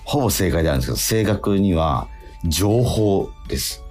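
A man hosting a casual radio talk, posing quiz questions, haltingly repeating himself and slowing down to stress key phrases. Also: low-pitched, lively intonation, darkish tone, faint music behind.

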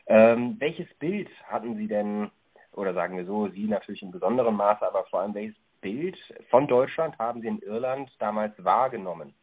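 A man hosting a podcast, formally interviewing a guest and reading out prepared questions in a polite, measured manner. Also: lowish voice, expressive, husky, phone-quality sound.

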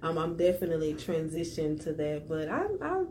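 A woman's voice: low-pitched, sing-song, brisk, a little mellow. Chatty woman podcaster with background noise. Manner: enthusiastic, chatty, laughs easily. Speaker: podcast host. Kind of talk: casual podcast chat.

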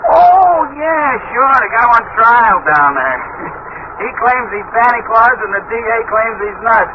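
A man playing an excitable, chummy working-class postal worker in old radio comedy, slangy and eager. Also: very high-pitched, measured pace. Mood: chatty. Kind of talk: 1940s radio comedy acting. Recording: muffled, noisy old radio recording.

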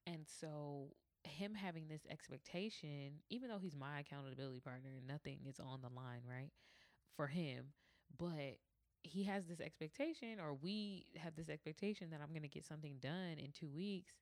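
Podcast host, female, deep and gravelly, candid storyteller, dry booth sound. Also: moderate pace, a little mellow, dry recording. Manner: candid, conversational, acts out quoted dialogue. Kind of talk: personal storytelling monologue.

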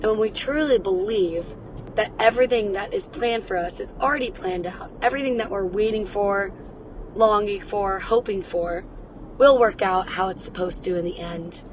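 Upbeat woman, slightly raspy, in a car. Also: mid-range voice, moderate pace, poor audio. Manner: upbeat, encouraging. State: raspy voice from cheering. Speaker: podcast host. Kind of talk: wellness podcast, guided breathing. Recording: car noise in background.

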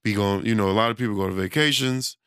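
A man's voice: lowish voice, fast, a bit bright, clean tone, no echo. A man, self-employed with a studio, talking in a loose, rambling casual monologue, and losing his voice. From United States. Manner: loose, rambling, conversational, with many restarts and fillers.

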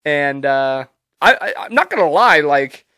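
A man's voice: fairly high pitch, big pitch swings, a little slow, bright, forceful tone, clean tone, dry recording. Profane, congested man chatting solo. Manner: loose, profane, rambling. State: stuffy, congested from allergies. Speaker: podcast host. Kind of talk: casual solo podcast chat.

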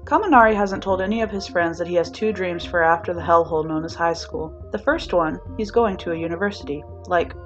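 A woman with a fairly low voice narrates a scripted story expressively. The recording is a little muffled, and soft music plays underneath. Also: fairly quick, few pauses. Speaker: narrator reading fan fiction.

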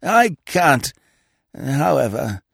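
A man's voice: fairly high pitch, sing-song, drawn-out words, vocal fry, a bit bright, breathy edge, no echo, studio quality, pitched above the performer's own voice, exaggerated voice. A man doing a flustered old earl. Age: older. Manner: dithering, startled exclamations. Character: vague, flustered elderly male earl.

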